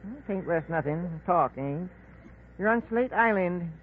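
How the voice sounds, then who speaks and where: Through a narrow, faintly noisy old radio recording comes a grasping island man. His voice is high for a man, and his talk is blunt, folksy and rustic, in the style of 1940s radio drama. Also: lively intonation, measured pace. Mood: grudging, mercenary.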